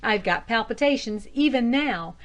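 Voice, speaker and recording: A woman's voice: fairly high pitch, animated, normal speed, natural voice. A woman voicing a frightened, indignant female cook in a storybook, explaining herself condescendingly to her mistress. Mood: shaken, insistent. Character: frightened, indignant female household cook, plain-spoken and deferential.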